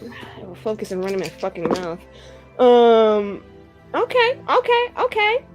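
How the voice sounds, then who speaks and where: A woman's voice: on the high side, big pitch swings, unhurried, highs cut off. A woman chatting casually as a player in a tabletop roleplaying game, improvising as she talks. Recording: music behind the voice.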